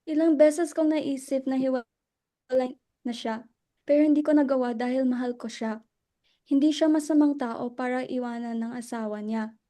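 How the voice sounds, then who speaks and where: A woman acting a guarded, emotional widow in a dramatic reading, halting and defensive as she speaks. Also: high-pitched, steady tone, mellow, natural voice. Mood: grieving, confessional. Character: Luella, guarded, emotional widow defending her dead husband.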